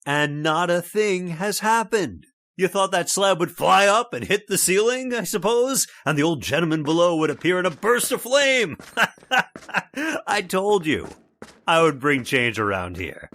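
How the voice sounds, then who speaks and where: A man's voice: sits high, big pitch swings, a little slow, exaggerated voice. An actor playing a stubborn, scoffing middle-aged father with a brash manner, in old-time radio drama style. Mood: gloating. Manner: brash, scoffing, loud laughs.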